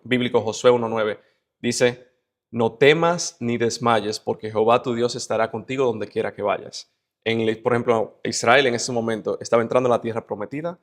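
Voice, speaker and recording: Man, Dominican Spanish accent, medium pitch, emphatic motivational interview talk, entrepreneur guest. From Dominican Republic.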